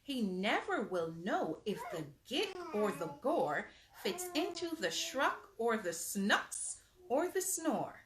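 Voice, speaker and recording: Female storyteller for children's rhyming books: high voice, unhurried pace, emphatic delivery, slight background noise. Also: sing-song. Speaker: host reading children's books aloud. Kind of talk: read-aloud bedtime story with asides to children.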